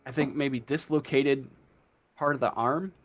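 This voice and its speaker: A man acts as game master in a casual tabletop session. He speaks slowly at a middling pitch, making up the narration as he goes with a hesitant, halting delivery. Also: fairly even intonation.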